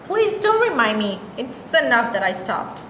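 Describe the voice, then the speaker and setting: An actress plays an agreeable, earnest woman in a comic staged reading. Her fairly high voice carries in an echoey hall. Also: very animated, moderate pace, a bit bright. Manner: conciliatory, earnest. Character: agreeable, hopeful woman, forgiving ex-smoker. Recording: echoey hall, background noise.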